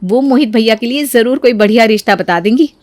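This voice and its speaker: A woman's voice: fairly high pitch, quick pace, no echo, close to an everyday voice. A woman voicing a sweet, cheerful and dutiful young bride in acted story dialogue. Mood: eager, cheerful.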